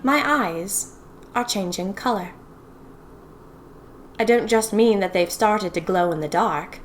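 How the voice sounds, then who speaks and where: A woman's voice: medium pitch, slow, a little hiss or hum, natural voice. A woman playing a young 1920s typist who confides eerie happenings to her diary in a chatty, dramatic, wry way. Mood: puzzled, confiding. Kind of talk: first-person audio fiction diary narration.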